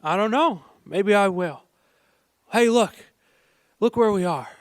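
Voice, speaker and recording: A man's voice: high voice, takes it slowly, no room sound, studio quality. An actor in an old-fashioned live radio play playing a restless small-town young man, eager, boyish and stammering.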